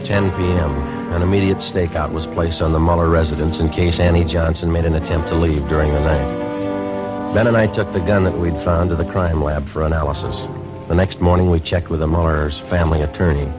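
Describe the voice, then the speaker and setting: Low, clipped American male detective sergeant, played by a radio actor, businesslike and deadpan, dull vintage radio sound. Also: a little fast, close to an everyday voice. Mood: matter-of-fact narration. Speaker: lead actor and narrator of a radio police drama. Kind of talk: 1950s radio acting, clipped procedural narration. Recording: dull 1950s radio recording with music.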